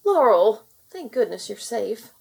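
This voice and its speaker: Lady doing a fretful loving dad in a kids story, emphatic, kinda husky. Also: a little high, sing-song, measured pace, heavily acted. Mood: relieved. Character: worried, loving middle-aged human father.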